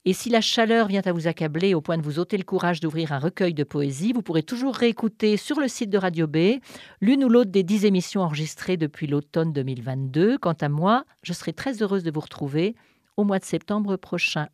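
A woman hosting a radio show, reading a poem aloud in a measured, deliberate way with pauses. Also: on the low side, expressive, darkish tone, no room sound, studio quality. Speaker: radio host. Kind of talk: scripted narration and poetry reading.

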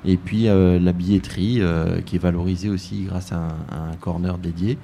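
Radio guest, male, very low and dark voice, halting delivery with many fillers, faint background hiss. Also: steady tone, gravelly. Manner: hesitant, many fillers and restarts. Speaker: tourist office director. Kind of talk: live radio interview.

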